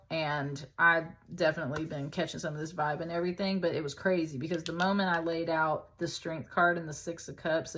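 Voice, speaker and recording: A woman's voice: low-pitched, fairly bright tone, a little hiss or hum. A woman giving a casual, conversational tarot reading in an informal, chatty way, as if thinking aloud. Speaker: tarot reader, host.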